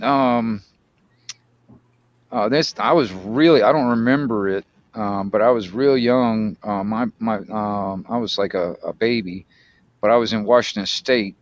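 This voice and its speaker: A man's voice: lowish voice, unhurried, muffled recording. A middle-aged American man from Florida chatting casually, telling things in a rambling, hesitant way with slangy wording. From Florida, United States. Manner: rambling, hesitant storytelling with slangy, mildly profane speech. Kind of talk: casual chat.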